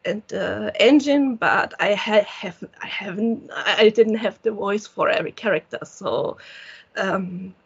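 A woman's voice: mid-range voice, vocal fry, breathy edge. Brief: German-accented woman, indie dev interviewee, hesitant non-native English, dull lo-fi sound. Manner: halting, searching for words, frequent restarts. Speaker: solo indie game developer. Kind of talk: casual interview answers.

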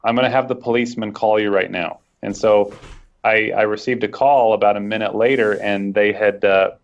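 A man's voice: on the low side, steady tone, fairly quick. A man being interviewed, talking earnestly and thoughtfully in a casual conversation. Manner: earnest, reflective storytelling. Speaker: guest, online scam-baiter. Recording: dull lo-fi audio.

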